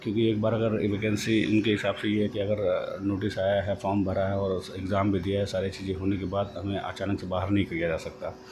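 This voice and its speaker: A man giving an informal explanatory update, halting and rephrasing as he goes, mixing in English terms. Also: low-pitched, completely flat delivery. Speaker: host of a job-news channel. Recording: noisy home-made voice-over, background noise.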